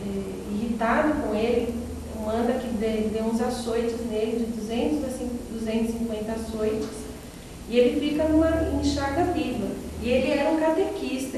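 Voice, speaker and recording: A woman with a Brazilian accent telling a life story informally, as an interviewee giving testimony. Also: fairly high pitch. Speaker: interviewee recounting a saint's life. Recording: very echoey noisy hall, distant mic.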